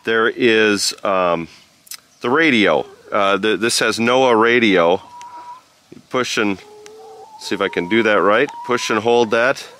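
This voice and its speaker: A man giving a casual, unscripted product review, explaining step by step in a halting, informal way. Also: on the low side, pushed and bright. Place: outdoors on a rural farm. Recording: noisy outdoor farm ambience with chickens. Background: clucking chickens, outdoor ambience.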